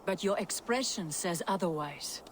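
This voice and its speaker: A woman's voice: lowish voice, expressive, normal speed, a little hiss or hum, lightly acted. A woman playing a proud, defiant Norse goddess queen in a video game, firm and imperious. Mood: defiant, suspicious. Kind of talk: dramatic video game cutscene acting. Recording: loud music bed under dialogue.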